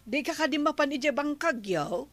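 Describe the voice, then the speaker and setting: A woman's voice: sits high, expressive, normal speed, a bit bright. A woman with an Ilocano accent playing a bargaining village woman in a radio comedy drama. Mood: coaxing. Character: bargaining village woman hiring farm help.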